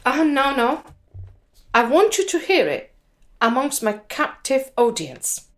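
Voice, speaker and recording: An earnest woman with a fairly high voice, in a radio drama. She is a budding performance poet who speaks slowly and deliberately, weighting her words. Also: sing-song, heavily acted. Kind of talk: radio drama acting, with recited poetry.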